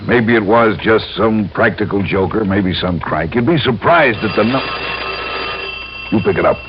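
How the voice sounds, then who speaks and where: An actor playing an earnest male insurance man who explains things deliberately and carefully in an old radio crime drama. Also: lowish voice, lively intonation. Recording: muffled, noisy old radio recording.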